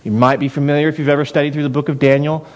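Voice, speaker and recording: A man's voice: on the high side, flat delivery, very fast. A man preaching a sermon in a conversational way, often repeating and restarting words. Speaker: pastor.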